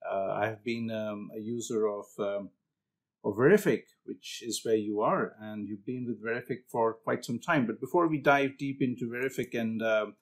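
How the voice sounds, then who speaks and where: A man hosting a podcast, greeting listeners brightly and asking questions in a chatty way with some fillers. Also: fairly low pitch, normal speed. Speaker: podcast host.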